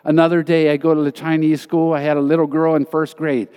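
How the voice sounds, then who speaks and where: A man's voice: fairly high pitch, flat delivery, fast talker, mellow, clean tone. Earnest male preacher in a reverberant hall. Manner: earnest, emphatic storytelling. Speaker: missionary teacher. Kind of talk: sermon testimony.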